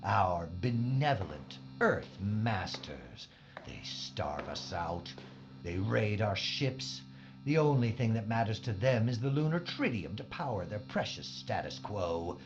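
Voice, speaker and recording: Actor as wildly swooping mad scientist villain, dull sound. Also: male voice, on the low side, very animated, slow pace, over music, heavily acted. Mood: ranting, bitter. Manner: grandiose, theatrical monologuing. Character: fanatical mad scientist villain, grandiose and menacing. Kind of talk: science fiction audio drama acting. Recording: dull band-limited sound.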